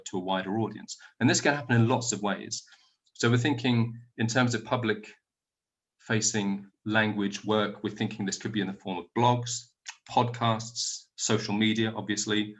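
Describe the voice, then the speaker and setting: A man's voice: lowish voice, fairly bright tone. A man, from the UK, giving an informal online conference talk about language teaching, full of restarts and fillers. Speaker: conference plenary speaker.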